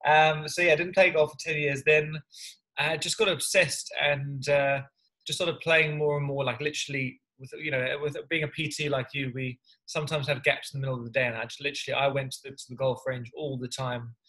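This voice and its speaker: A man's voice: mid-range voice, little pitch movement, brisk, pushed and bright, poor audio. Guy early 30s chatting from home on webcam, laid back, kinda rambly, mid voice. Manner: easygoing, rambling with repeats. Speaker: guest, personal trainer and golfer. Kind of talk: casual video-call interview. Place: home room, indoors. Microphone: video call webcam.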